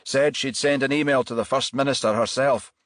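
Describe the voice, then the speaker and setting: A man voicing a bitter, evasive murder suspect in an audiobook, halting and defensive as he justifies himself. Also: mid-range voice, fairly even intonation, a bit bright, no echo, studio quality, pitched above the performer's own voice, natural voice. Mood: bitter, self-justifying.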